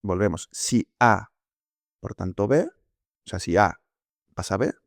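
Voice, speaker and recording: Low-voiced man explaining step by step. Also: expressive, slow, dry recording, studio quality. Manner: explanatory, hesitant, many fillers and self-corrections. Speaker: podcast co-host. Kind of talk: casual conversational podcast.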